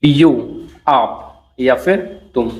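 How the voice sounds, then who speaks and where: Man, unhurried, drawn-out repetition, English tutor explaining phrases. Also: mid-range voice, a little mellow. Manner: slow, repetitive, checks understanding with "ठीक है". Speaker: English teacher. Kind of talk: Hindi-medium English lesson, word by word translation.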